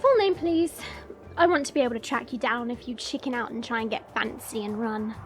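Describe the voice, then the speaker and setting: A woman's voice: fairly high pitch, a little fast, faint music behind, natural voice. A woman playing a haughty yet playful young dragon princess in a fantasy audio roleplay, breezy and teasing. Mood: imperious, teasing. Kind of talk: fan audio roleplay acting.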